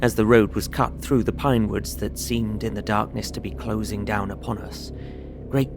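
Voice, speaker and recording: An actor playing an observant young gentleman traveller, narrating his journal in measured, literary audio drama style. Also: lowish voice, fairly even intonation, music in the background, natural voice. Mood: uneasy, descriptive. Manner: measured, descriptive storytelling.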